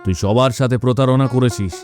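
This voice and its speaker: A man's voice: mid-range voice, fairly quick, darkish tone, faint music behind, close to an everyday voice. A man playing a stern, accusing village man who passes judgement in a cartoon.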